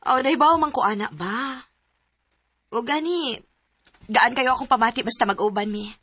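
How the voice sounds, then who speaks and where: A woman's voice: fairly high pitch, normal speed, a bit bright, dry recording. An actress playing a hesitant, heartbroken young woman in a radio drama, with a Cebuano Filipino accent. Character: heartbroken young woman telling her love story, pleading, hesitant.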